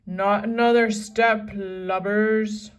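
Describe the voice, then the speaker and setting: A woman's voice: mid-range voice, fairly even intonation, slow, recorded from a distance, close to an everyday voice. A woman voicing a big, mean, gold-greedy pirate captain in a children's storybook, roaring and growling out orders. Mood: threatening. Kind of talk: children's storybook read-aloud.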